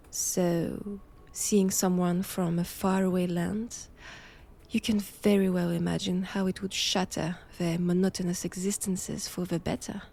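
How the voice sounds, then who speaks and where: A woman with a French accent playing a knowing, menacing nun captor in an intimate roleplay audio drama, with measured, teasing, formal old-fashioned phrasing. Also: lowish voice, gravelly, warm tone. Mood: amused, teasing.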